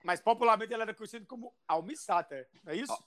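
A man's voice: high-pitched, very fast, a crowd in the background. A man with a cold, co-hosting a casual joking chat in a teasing, playful way. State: has a cold. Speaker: co-host.

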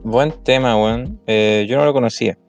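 A man's voice: mid-range voice, steady tone, moderate pace, creaky, lo-fi sound. A young man with a Chilean Spanish accent in a casual music chat as a podcast co-host, laid-back and hesitant and full of slang.

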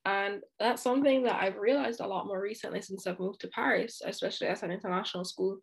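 Outspoken woman, gravelly edge. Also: mid-range voice, steady tone, fast talker. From Jamaica. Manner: earnest and outspoken, with frequent asides. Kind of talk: candid conversational discussion.

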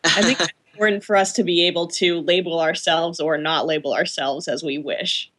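Low-voiced, thoughtful non-binary speaker. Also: female voice, steady tone, normal speed, fairly bright tone. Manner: thoughtful, frequent ums and likes. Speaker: panel guest. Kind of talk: casual podcast panel talk.

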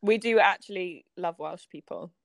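A woman's voice: lowish voice, creaky, dry recording. Bubbly young woman co-host. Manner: bubbly, quick banter with laughs. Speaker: podcast co-host. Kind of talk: casual podcast chat.

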